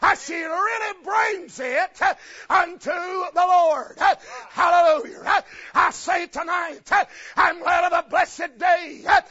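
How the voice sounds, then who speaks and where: Shouting preacher with a rhythmic uh. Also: male voice, very high-pitched, slow pace, some chatter behind. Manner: shouted cadence, an uh after each phrase. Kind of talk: chanted revival sermon. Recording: lo-fi recording with faint congregation. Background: congregation responding.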